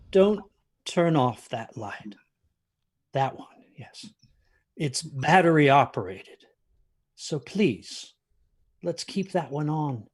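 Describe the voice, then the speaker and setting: Husky, haunted grandfather. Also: a little high, darkish tone, exaggerated voice. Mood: weary, evasive. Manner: halting, intense, repeats himself. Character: haunted grandfather telling a ghost story from his youth. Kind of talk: dramatic storytelling.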